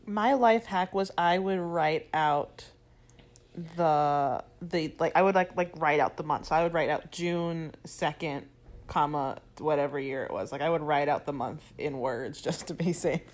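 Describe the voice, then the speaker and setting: A young woman co-hosting a podcast, telling stories in a casual, animated way and laughing often. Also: deep voice, measured pace. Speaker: podcast co-host. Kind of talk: casual chat.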